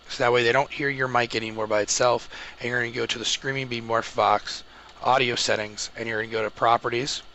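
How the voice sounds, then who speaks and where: A man talking viewers through a software setup step by step in an informal, explanatory way. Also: mid-range voice. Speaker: host of a tech tutorial. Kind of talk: casual step-by-step screen tutorial. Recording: clearly noisy home microphone recording.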